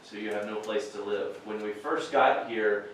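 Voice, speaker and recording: A man's voice: lowish voice, fairly even intonation, moderate pace. A man giving a translated voice-over, speaking plainly and measuredly with some fillers. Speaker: voice-over interpreter. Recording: very echoey, distant, faint noise.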